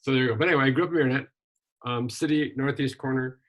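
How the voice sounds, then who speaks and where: Older guy from wisconsin, retired minister, chatting through his life story, lots of ums, mid-pitched voice. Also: very fast. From Wisconsin, USA. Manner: relaxed storytelling with frequent ums and restarts. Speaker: interview guest, retired minister and journalist. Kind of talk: casual conversational interview.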